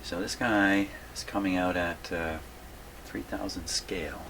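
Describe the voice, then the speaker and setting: A man's voice: sits low, fairly even intonation, a little slow. A man hosting a hands-on electronics repair video in his workshop, casually talking through his work and muttering to himself. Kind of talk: casual hands-on demonstration, thinking aloud. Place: home radio workshop. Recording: clear background noise.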